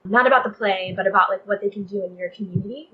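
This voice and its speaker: A woman's voice: mid-range voice, quick pace, narrow, tinny audio, a little hiss or hum. A young woman from Texas speaking earnestly and conversationally as an interview guest, often saying "like" and "you know". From Texas, United States.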